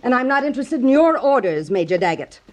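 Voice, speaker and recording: Proud bitter widow in an old 50s radio western, very formal and cutting. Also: female voice, a little high, sing-song, quick pace, darkish tone, lo-fi sound. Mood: angry scorn. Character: proud, determined, bitter army captain's widow from back east. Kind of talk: 1950s radio drama acting.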